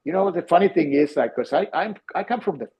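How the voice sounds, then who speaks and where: A middle-aged man with a Finnish accent, a podcast guest who tells stories in a halting, restarting way. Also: a little high, animated, husky. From Finland. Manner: halting, many restarts and fillers. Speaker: guest, former team physiotherapist. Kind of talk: conversational storytelling. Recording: dull, lo-fi sound.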